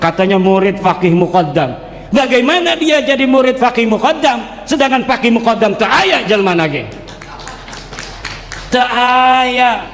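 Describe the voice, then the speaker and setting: A male preacher with a high voice speaks informally in a big reverberant hall. He comes across as boastful, jokey and combative. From Banten, Indonesia. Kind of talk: informal public speech mixing regional languages. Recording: echoey hall with long reverb.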